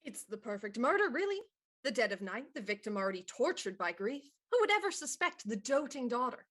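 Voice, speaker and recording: A woman's voice: a little high, big pitch swings, a little fast, a bit bright. A woman playing a cool, confident, accusatory female police inspector in a radio murder mystery. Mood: wry, assured.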